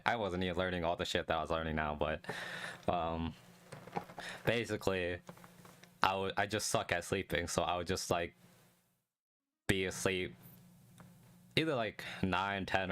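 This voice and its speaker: A young man streaming a casual solo chat, calm and low-energy, talking close to the mic and rambling with many restarts. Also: slight background noise. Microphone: close desk mic.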